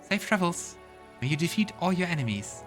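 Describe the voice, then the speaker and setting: A man's voice: high voice, very animated, a little fast, music in the background, pitched above the performer's own voice. A man voicing a cheerful, admiring young village woman in a fantasy game, chatty and earnest. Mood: warm.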